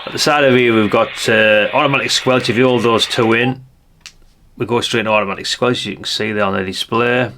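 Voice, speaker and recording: A man's voice: mid-range voice, steady tone, fairly quick, sharp tone, slight background noise. An older man chatting in a rambling way, thinking aloud through a casual hands-on product review. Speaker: radio hobbyist reviewer.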